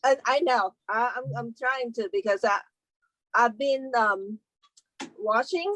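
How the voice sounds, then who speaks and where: A woman with a Thai accent speaks in a fairly high voice, halting and restarting as she chats casually. The sound is close and dry, with little room echo. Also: fairly bright tone. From Thailand. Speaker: first-time class attendee. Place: Zoom call on a phone.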